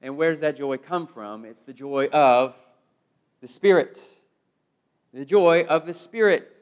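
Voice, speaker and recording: American male preacher, middle-aged, high voice, emphatic sermon delivery, repeated phrases, wide swings in volume. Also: unhurried. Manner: swings from loud proclamation to hushed phrases.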